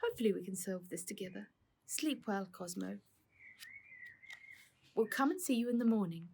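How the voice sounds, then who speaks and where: A woman voicing a caring, calm mother, gentle and concerned, in a storybook reading. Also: medium pitch, moderate pace, vocal fry, husky. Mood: concerned, comforting.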